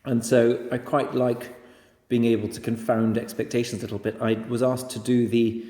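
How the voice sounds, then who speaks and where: A man's voice: medium pitch, level tone, normal speed, warm tone. A middle-aged man with an English accent, talking as a podcast guest in a rambling, self-deprecating, anecdotal way. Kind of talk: casual, anecdotal interview chat. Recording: echoey, reverberant room.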